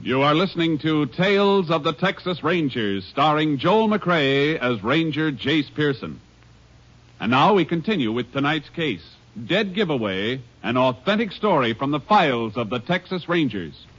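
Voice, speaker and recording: High, animated vintage male radio announcer. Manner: emphatic, formal, measured. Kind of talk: 1950s radio announcing, scripted. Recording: muffled vintage radio broadcast.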